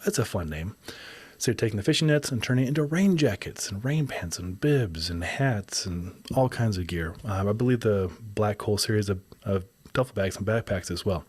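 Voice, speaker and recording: A man hosting a podcast whose voice is raspy from a windy day of shooting, talking casually in a rambling, upbeat way. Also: on the low side, darkish tone. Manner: rambling, upbeat, self-correcting. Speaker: podcast host. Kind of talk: casual solo podcast update.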